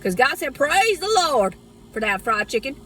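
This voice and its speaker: A woman's voice: high voice, very animated, normal speed, pushed and bright, pitched above the performer's own voice, heavily acted. A woman putting on a preachy, gluttonous Southern churchgoing woman with an exaggerated drawl, sarcastic and folksy in a satirical monologue. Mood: mock-pious. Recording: distant mic, clear background noise.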